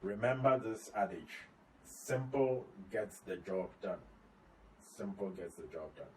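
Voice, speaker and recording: A man, a company head, talking through tips on slides in a relaxed video lesson, repeating key points as he explains. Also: fairly low pitch, a little slow. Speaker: company CEO giving tips. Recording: slightly distant mic, faint ambient noise.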